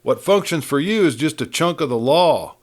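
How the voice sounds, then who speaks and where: A man's voice: fairly high pitch, animated, moderate pace, voice pushed up, lightly acted. A man voicing a breezy, good-natured but proud cowboy prisoner, bantering in folksy Western talk. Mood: cheerful, teasing.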